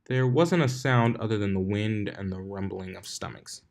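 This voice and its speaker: A man's voice: on the low side, moderate pace, vocal fry, darkish tone, slight background noise. A man reading fan fiction aloud as a scripted story narration.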